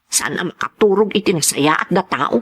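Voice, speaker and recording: A woman's voice: fairly low pitch, moderate pace, slightly rough. An actress playing an ambitious, discontented young wife and mother in a radio drama, complaining and insistent. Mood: frustrated, complaining.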